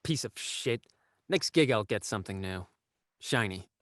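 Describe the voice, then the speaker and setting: A man's voice: on the low side, lively intonation, moderate pace, a bit bright, no echo, clean studio sound. An actor playing a brash, broke young man, a protective older brother who talks bluntly and swears. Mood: gruff. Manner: blunt, crude, defensive.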